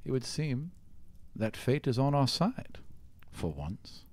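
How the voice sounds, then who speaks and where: A man voicing a stern, shrewd mother who trains her son as an assassin, speaking in a measured, grave way in a tabletop game. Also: mid-range voice, sing-song, a little mellow, no room sound. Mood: quietly pleased.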